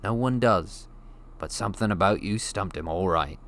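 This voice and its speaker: Man voicing a big gruff rustic giant. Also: low voice, normal speed, a bit bright. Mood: earnest. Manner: folksy, ungrammatical, emphatic. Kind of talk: audiobook character voice.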